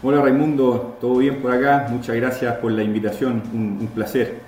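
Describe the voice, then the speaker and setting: A man's voice: medium pitch, level tone, moderate pace, darkish tone, faint music behind. A man in his thirties with a Chilean accent, chatting as an interview guest and telling his story in a loose, repetitive way. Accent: Chilean Spanish. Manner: chatty, repeats words while building a story. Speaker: guest, entrepreneur. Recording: roomy echo, faint background noise.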